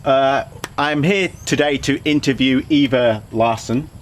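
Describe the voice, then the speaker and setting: A man's voice: mid-range voice, a little slow, slight background noise. Yorkshire man hosting a podcast interview. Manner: friendly, asks questions, says "right" often. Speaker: podcast host. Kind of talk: casual interview.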